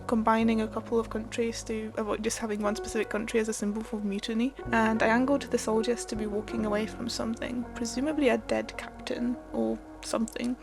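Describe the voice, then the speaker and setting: A young woman whose first language is Polish, narrating a scripted voice-over in a thoughtful, wry and lightly self-deprecating way. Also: on the high side. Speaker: art and language vlogger. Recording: voice-over with clear background music.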